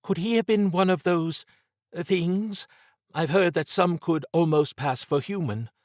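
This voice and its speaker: A man voicing a brisk, sharp-tongued, exasperated female spy in an audiobook. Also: on the high side, dry recording, studio quality, voice pushed up, natural voice. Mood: uneasy. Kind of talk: audiobook character dialogue.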